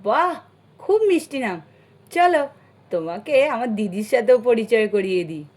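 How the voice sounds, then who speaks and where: Woman voicing a high-pitched, caring village housewife. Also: very animated, normal speed, a little mellow. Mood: teasing. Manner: coaxing, familiar. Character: caring, teasing village housewife and sister-in-law.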